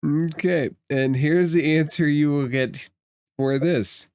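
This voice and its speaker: Slow, clear-voiced male game master. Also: fairly high pitch, steady tone, darkish tone, no echo. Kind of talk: narrating a tabletop roleplaying game.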